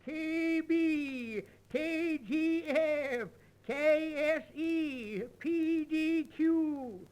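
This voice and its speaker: Very high-voiced pompous duke. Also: male voice, very slow, darkish tone, heavily acted, low-quality recording. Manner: pompous, affected politeness. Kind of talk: 1930s radio musical-comedy acting. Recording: 1930s radio transcription disc.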